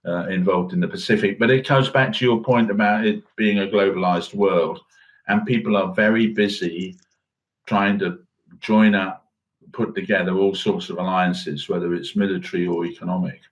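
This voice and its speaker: A middle-aged man speaks in a fairly low voice as co-host of a current affairs podcast. He is musing and understated, feeling his way through each point in casual discussion. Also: steady tone, normal speed, rough recording.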